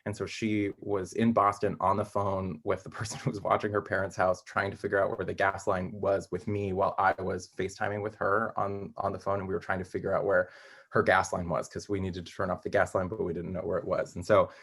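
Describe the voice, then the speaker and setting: Low-voiced man giving hesitant interview answers. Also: level tone, a little fast, creaky, fairly bright tone, breathy edge. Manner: hesitant, rambling, thinking aloud. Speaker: interviewed playwright.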